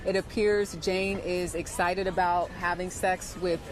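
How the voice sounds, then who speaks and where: A woman's voice: on the low side, little pitch movement, unhurried, faint music behind. A woman giving a live news report as a correspondent.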